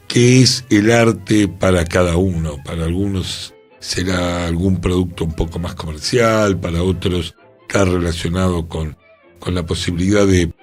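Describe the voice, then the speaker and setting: Low husky man musing. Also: a little mellow. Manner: musing, thinking aloud with restarts. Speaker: radio host. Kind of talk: reflective radio discussion. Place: radio studio.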